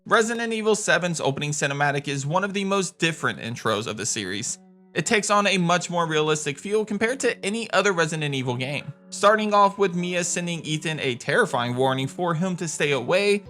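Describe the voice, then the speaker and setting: Male host, slightly high pitch, sharp bright tone, emphatic scripted narration, gaming countdown. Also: animated, a little fast. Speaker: video game countdown host. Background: music.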